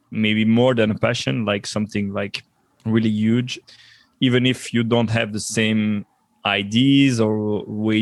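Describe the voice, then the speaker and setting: A man's voice: lowish voice, no room sound. A man from Belgium, speaking in a casual interview as a podcast guest. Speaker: podcast guest, sports journalist and teacher.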